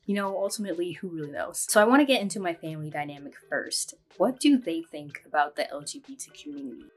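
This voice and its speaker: Young woman, low husky voice, eager and reflective vlog storyteller, soft music underneath. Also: animated, quick pace. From Barbados. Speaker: vlogger. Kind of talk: casual vlog monologue.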